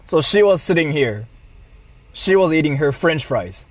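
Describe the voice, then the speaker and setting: Male streamer, higher-than-average pitch, slow deliberate delivery in broken phrases, mock-apologetic monologue. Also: animated, no room sound. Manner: deliberate, halting short phrases. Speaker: livestreamer.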